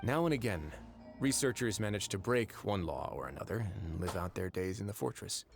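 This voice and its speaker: An actor playing a composed, wry young duke in a video game, speaking in a deliberate, measured storytelling manner. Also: male voice, fairly low pitch, expressive, faint music behind. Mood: calm, measured. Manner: deliberate, dryly wry, unhurried. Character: composed, wry young duke who runs an underwater prison fortress.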